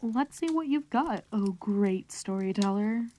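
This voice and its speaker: A woman's voice: medium pitch, expressive, unhurried, mellow, slight background noise, natural voice. A woman playing a blunt, sarcastic young woman in a fantasy audio drama.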